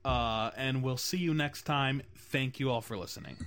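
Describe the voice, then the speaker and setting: A man hosting a laid-back podcast about games. He talks at a middling pitch and wanders through his points with lots of fillers and restarts. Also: moderate pace. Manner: rambling, many fillers like "you know" and "um". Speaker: podcast host. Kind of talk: casual podcast chat about game design.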